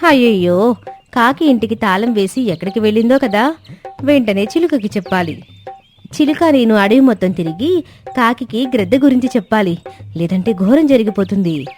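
A woman's voice: fairly high pitch, expressive, moderate pace, a little mellow, natural voice. A woman voicing an anxious stork who frets and exclaims about danger in a children's cartoon story. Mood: fretful. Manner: fretting, exclaiming to itself. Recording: music bed under the voice.

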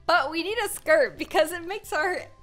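Bright, high-pitched young American woman. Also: normal speed, soft background music. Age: young adult. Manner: chatty, expressive, exclaiming. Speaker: gaming video host. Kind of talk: casual playthrough commentary.

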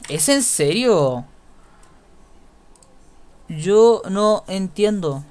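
A young man with a Chilean accent, giving casual gameplay commentary in a quiet mutter that repeats words in runs. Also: high voice, animated, very slow. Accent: Chilean Spanish. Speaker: gaming YouTuber.

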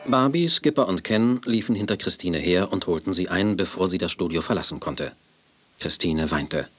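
A man's voice: sits low, moderate pace, a bit bright, faint music behind. An actor as the male storyteller, reading scripted narration in a children's radio play. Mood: narrating. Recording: noisy old cassette recording.

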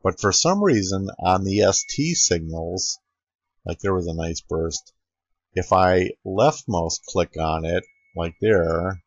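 A man's voice: deep voice, lively intonation, slow pace, dry recording. A man narrating an informal screen-capture tutorial as an amateur radio operator, recorded close on a dull computer microphone.

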